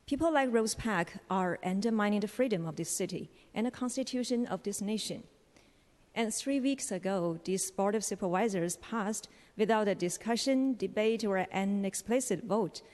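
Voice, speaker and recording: A woman's voice: medium pitch, darkish tone. A woman public commenter delivering a prepared political speech at a meeting in a formal, accusatory manner. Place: large echoing public meeting chamber. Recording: long echoing hall reverb.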